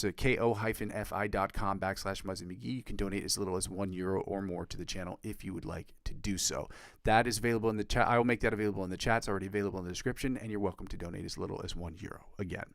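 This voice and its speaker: A man's voice: steady tone, racing, vocal fry, no echo, studio quality. A congested man live streaming casually as a guitar streamer, rambling with lots of fillers. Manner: rambling, filler-heavy, upbeat pitch to viewers. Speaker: guitar livestreamer.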